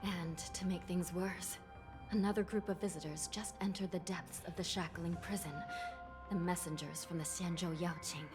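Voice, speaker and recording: A woman's voice: on the low side, fairly even intonation, moderate pace, natural voice. A woman voicing a grave, worried young female prison guard in a video game. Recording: loud game music behind.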